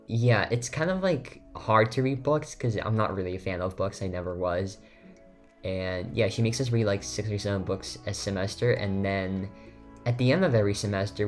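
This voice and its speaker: Rambling low-voiced teen boy, Lithuanian native. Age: teens. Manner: rambling, many fillers and restarts. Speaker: game builder voiceover. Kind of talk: casual voiceover chat over gameplay. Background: faint background music.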